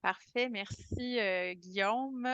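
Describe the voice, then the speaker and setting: Female moderator, courteous and measured, dry close sound. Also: medium pitch, a bit bright, dry recording, muffled recording. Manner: measured, courteous, hesitant fillers. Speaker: meeting moderator. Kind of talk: moderating a public meeting.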